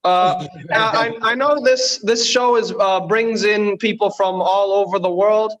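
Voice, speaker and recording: A man's voice: high voice, steady tone, measured pace, a bit bright, rough recording. A man from Bangladesh doing conversational stand-up, hesitant and understated. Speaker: stand-up comedian.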